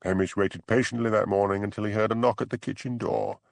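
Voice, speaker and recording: A man's voice: fairly low pitch, fast talker, gravelly, no echo. A man reading scripted audiobook narration.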